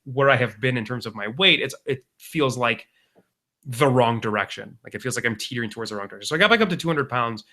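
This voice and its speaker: Bright tense-sounding guy rambling on a podcast, lots of restarts. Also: mid-range voice, lively intonation, fast talker. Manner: rambling, many restarts and fillers. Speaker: podcast co-host. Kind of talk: casual chat.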